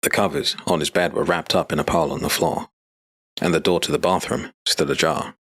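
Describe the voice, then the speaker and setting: A man narrating a scripted dark fantasy story as an audiobook narrator. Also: extremely low pitch, steady tone, brisk, husky, no room sound, studio quality.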